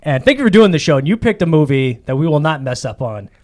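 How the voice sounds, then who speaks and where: A man's voice: a little high, sing-song, quick pace, a little mellow. A man in his forties hosting a comedy podcast, talking in a rambling, self-deprecating way with lots of fillers and restarts. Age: 40s. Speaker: podcast host. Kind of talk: casual comedy podcast chat.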